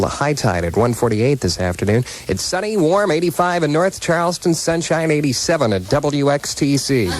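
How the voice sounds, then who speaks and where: A man presenting a midday radio show in smooth music-radio patter. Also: medium pitch. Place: radio studio. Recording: 1980s radio aircheck over music.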